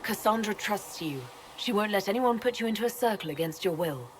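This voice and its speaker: An actress playing a composed, modest heroine in a video game, plain-spoken and polite with dry good humour. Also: female voice, medium pitch, lively intonation, a little fast, slight background noise, close to an everyday voice. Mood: calm, earnest. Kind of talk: video game character dialogue.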